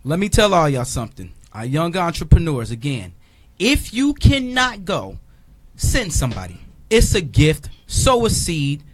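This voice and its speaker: A man's voice: a little high, huge pitch swings, a little slow, few pauses. A man hosting a casual radio interview, who repeats his words and urges listeners on in slangy talk. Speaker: radio show host.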